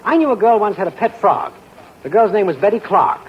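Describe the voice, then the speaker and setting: A sly, wisecracking neighbor, with a high voice that leaps wildly up and down between dry jokes. It is a dull old radio broadcast with faint hiss. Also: male voice, big pitch swings, brisk. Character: wisecracking, scheming male neighbor. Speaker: friend and neighbor in a radio sitcom. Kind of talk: 1940s radio sitcom acting.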